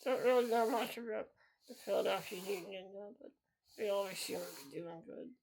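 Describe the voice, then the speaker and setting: Man, 30s, podcast host, gravelly, earnest repetitive monologue. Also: sits high, fairly even intonation, brisk, darkish tone, a little hiss or hum. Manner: earnest and repetitive, circling back to the same phrases.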